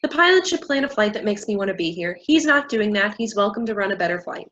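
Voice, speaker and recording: A woman's voice: medium pitch, animated, fast talker, fairly bright tone, slight background noise, natural voice. A woman playing a haughty, scornful, condescending character in a staged play reading. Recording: dull lo-fi recording.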